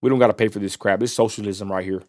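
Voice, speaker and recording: A man's voice: fairly low pitch, fairly even intonation, very fast. A man with a West Texas accent hosting a casual livestream. He rants bluntly and swears freely, speaking straight to the viewers. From Texas, USA. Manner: blunt, profane, heated ranting. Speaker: livestream host. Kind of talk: casual ranting livestream commentary.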